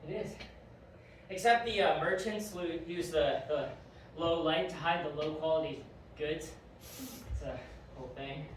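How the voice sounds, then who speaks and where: A woman playing a teasing otherworldly former adjudicator in an audio drama, with a playful, informal, slightly halting delivery. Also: low-pitched, far from the mic. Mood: easygoing. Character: Quench, teasing otherworldly former adjudicator who lives outside time. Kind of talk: audio drama acting, conversational. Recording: very echoey, off-mic, faint noise.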